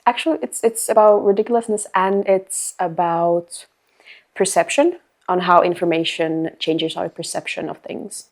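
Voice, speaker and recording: A young woman, an artist guest talking in a casual interview, bubbly and quick to giggle. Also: on the low side, steady tone. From Finland. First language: Finnish.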